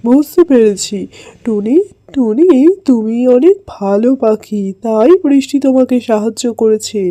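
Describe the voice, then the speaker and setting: A woman's voice: on the high side, a little slow, warm tone, dry recording, voice pushed down, lightly acted, low-quality recording. A woman voicing a big, kind, protective talking tree in a children's cartoon. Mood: kindly, reassuring.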